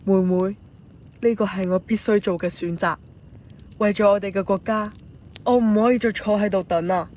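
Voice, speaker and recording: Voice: mid-range voice, fairly even intonation, normal speed, a little mellow, close to an everyday voice. Resolute male patriot general, amateur actor, declamatory and earnest, medium register, radio drama.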